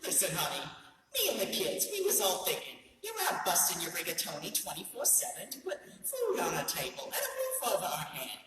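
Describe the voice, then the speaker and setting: A woman's voice: fairly low pitch, huge pitch swings, brisk. A woman playing a brassy, sarcastic, melodramatic mobster's wife in a comic radio play. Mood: affectionate. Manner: sassy, melodramatic, slangy scolding. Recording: noisy echoey hall, distant mic.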